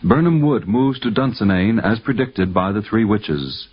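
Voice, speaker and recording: A man narrates in a low voice with formal, dramatic weight. The style is scripted 1950s radio, with the muffled sound of an old broadcast. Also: lively intonation, normal speed. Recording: dull 1950s radio recording.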